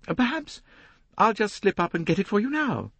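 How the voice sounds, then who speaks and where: Brief: gushing, self-important older lady author, voiced by a man, high whiny tone, swooping delivery, dry close audiobook sound. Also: very animated, moderate pace, gravelly, fairly bright tone, husky, no echo, heavily acted. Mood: grandiose. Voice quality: high, complaining voice. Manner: theatrical, self-important, emphatic. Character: pompous, gushing older woman novelist.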